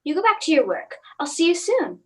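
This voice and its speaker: High, anxious mother in a radio play, acted by a woman. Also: big pitch swings, brisk. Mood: uneasy. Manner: hesitant, brooding, theatrical. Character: anxious suburban mother and housewife.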